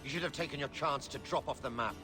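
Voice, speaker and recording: An actor playing a ruthless, scheming Roman general who taunts and commands coldly. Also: male voice, medium pitch, fast talker, natural voice. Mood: taunting. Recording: game audio under loud music.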